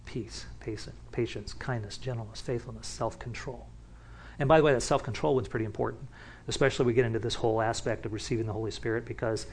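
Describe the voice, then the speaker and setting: A man teaching from the Bible in a relaxed, conversational way, often restarting sentences and picking up energy as he warms to a point. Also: mid-range voice, gravelly, a little hiss or hum. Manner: casual, with many restarts and asides. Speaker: pastor.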